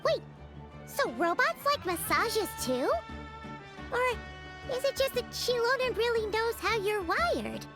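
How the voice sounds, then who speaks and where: Squeaky, excitable little fairy companion. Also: female voice, slow pace, music in the background, heavily acted. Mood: curious. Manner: speaks of herself in third person, exclaiming. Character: small excitable childlike fairy companion, female, chatty. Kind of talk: video game character acting.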